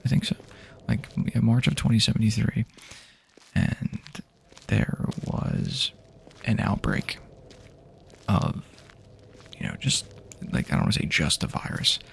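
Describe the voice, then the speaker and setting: Very deep, gravelly man in ASMR roleplay. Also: slight background noise. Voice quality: husky, gravelly, soft-edged voice. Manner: hesitant, halting, casual phrasing. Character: easygoing survivor guiding a friend through post-apocalyptic wasteland. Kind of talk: improvised ASMR roleplay, addressing the listener as a companion.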